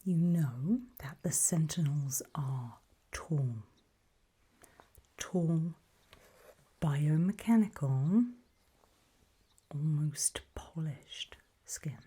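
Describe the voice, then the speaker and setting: A woman whispers an ASMR roleplay with a very breathy, airy voice. Her delivery is slow and deliberate, broken into short, hushed phrases. The tone is low and soft, as she guides the listener step by step.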